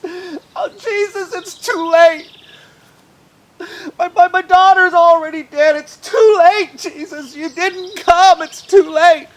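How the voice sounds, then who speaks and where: Man acting an anguished, high-pitched grieving father. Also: fairly even intonation, slow. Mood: tearful despair. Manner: repetitive, wailing pleading. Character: anguished grieving father pleading, heartbroken and despairing. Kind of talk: live Bible storytelling.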